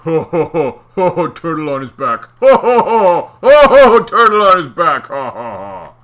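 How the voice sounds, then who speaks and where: A man doing a loud, jeering bully eel. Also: on the low side, takes it slowly, clear, resonant tone.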